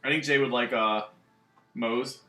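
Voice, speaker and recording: A man chatting loosely about video games, his voice sitting at an ordinary middle pitch. He rambles, padding his sentences with "um" and "like." The sound rings around a reverberant room. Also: steady tone, measured pace, pushed and bright, soft background music. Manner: rambling, many fillers like "um" and "like". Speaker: gaming channel co-host. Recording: echoey room.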